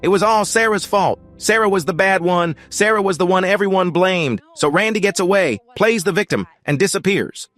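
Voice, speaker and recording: A man voicing an angry young adult daughter who shouts accusations at her father. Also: normal speed, few pauses, faint music behind. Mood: bitter, accusing. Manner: shouting, accusing, higher pitched. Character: furious young adult woman, bitter daughter.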